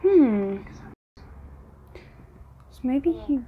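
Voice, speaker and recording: A woman giving casual, off-the-cuff commentary on a show, exclaiming and often pausing mid-thought. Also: high-pitched, very animated, narrow, tinny audio, a little hiss or hum. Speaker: reaction video host.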